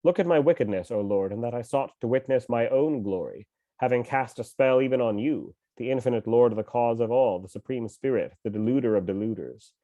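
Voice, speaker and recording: A man who is mildly ill with a cough reads a scripture translation aloud at home, in a measured, slow recitation with pauses. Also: medium pitch, no room sound, narrow, tinny audio. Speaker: scripture reader and teacher.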